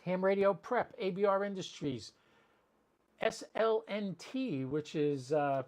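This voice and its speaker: A man's voice: high-pitched, a little mellow. A man chatting casually and rambling as he hosts an amateur radio livestream, talking directly to his viewers.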